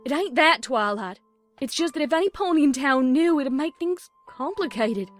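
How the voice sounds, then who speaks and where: A woman's voice: high-pitched, animated, moderate pace, soft background music. A woman with an American Southern accent voicing a proud, protective young farm mare in a cartoon pony audio drama. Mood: worried.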